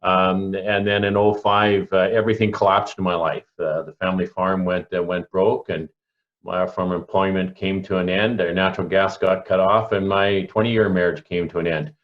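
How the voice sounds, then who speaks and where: A Canadian man in his early sixties giving personal testimony on a panel, reflective and deliberate, repeating himself for emphasis. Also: low voice, level tone, dull audio. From rural Alberta, Canada. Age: early 60s. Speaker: panelist, local pastor.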